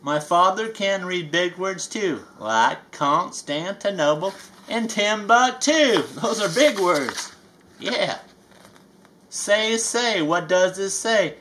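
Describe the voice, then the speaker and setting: A performer voicing a playful, folksy bayou alligator with a Southern US accent, reading a children's picture book aloud with drawn-out emphasis. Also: medium pitch, lively intonation, fairly bright tone, slight background noise.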